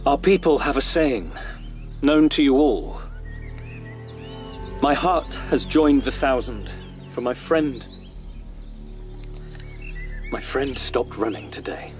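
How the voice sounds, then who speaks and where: Anxious, animated young rabbit leader. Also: male voice, mid-range voice, slow, pauses often, faint music behind. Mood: grave. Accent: British. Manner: urgent, pleading, commanding. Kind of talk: British radio drama acting.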